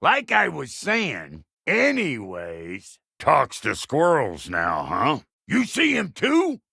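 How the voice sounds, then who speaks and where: Video game dwarf blacksmith: crude, cranky and sly, creaky and piercingly bright, folksy insults. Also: male voice, on the high side, wildly swooping pitch, takes it slowly, no echo, studio quality, heavily acted. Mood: brash, irreverent. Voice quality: gravelly, creaky, sharp. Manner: folksy, blunt, drawling slang and colorful insults. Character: crude, cantankerous, foul-mouthed dwarf blacksmith with a sly streak. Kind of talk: video game character acting.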